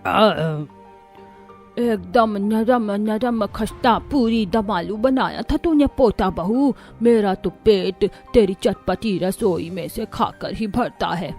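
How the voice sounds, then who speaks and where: A nagging older mother-in-law as a female narrator plays her in a cartoon story, greedy for food and bossy. She talks in a demanding, lip-smacking way at a middling pitch. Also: slow, a little mellow, faint music behind. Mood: satisfied relish. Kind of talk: Hindi cartoon story dialogue.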